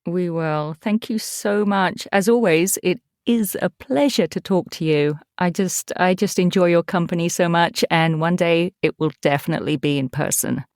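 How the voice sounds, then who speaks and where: A woman's voice: fairly low pitch, normal speed, gravelly, hardly any pauses, darkish tone, no echo, studio quality. A woman from East Anglia with an English accent, chatting casually as a podcast guest in a playful, self-deprecating way. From East Anglia, England. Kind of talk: casual chat.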